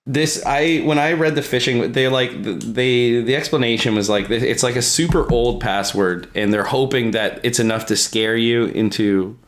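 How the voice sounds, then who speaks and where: A man's voice: medium pitch, normal speed, few pauses, slight background noise. Crude, casual male podcast co-host. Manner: profane, self-mocking.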